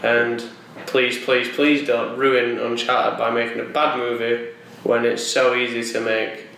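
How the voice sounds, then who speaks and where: A man's voice: mid-range voice, flat delivery, unhurried. A man chatting casually as a co-host, rambling and often repeating his words as he feels for what to say. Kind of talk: casual chat about films and games. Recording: very echoey room, distant mic, faint noise.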